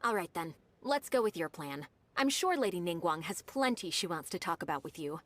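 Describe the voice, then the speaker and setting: A lively, efficient young secretary. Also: female voice, lowish voice, brisk. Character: dutiful young female secretary, polite and efficient. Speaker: game character. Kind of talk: video game voice acting.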